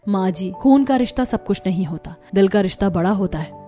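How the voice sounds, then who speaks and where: A clever, determined young daughter-in-law played by a woman in a Hindi cartoon story. She explains things confidently and persuasively, at a middle pitch, with faint music behind her. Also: animated, fairly quick, warm tone, lightly acted. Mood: gentle, consoling.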